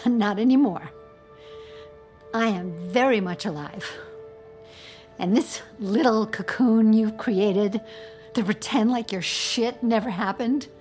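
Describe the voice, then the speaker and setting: A woman's voice: mid-range voice, a little mellow, close to an everyday voice. An actress playing Diana's spiteful older mother, who mocks and threatens her daughter in a theatrical, menacing way. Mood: gloating.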